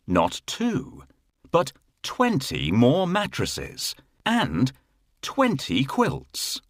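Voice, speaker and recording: A man narrating a children's fairy tale in a measured, expressive storytelling manner with a husky voice. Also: fairly low pitch, dry recording, clean studio sound. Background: faint music.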